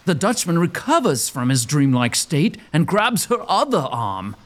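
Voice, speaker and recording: Animated, high-pitched male storyteller. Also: measured pace. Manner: theatrical, suspenseful. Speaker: narrator. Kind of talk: dramatic scripted storytelling narration.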